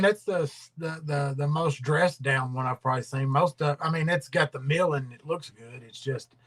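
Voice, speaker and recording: A man's voice: fairly high pitch, fairly bright tone. Rambling, drawling man hosting a livestream. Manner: rambling, drawling, trails off into mumbles. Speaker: livestream host. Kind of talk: casual livestream chat.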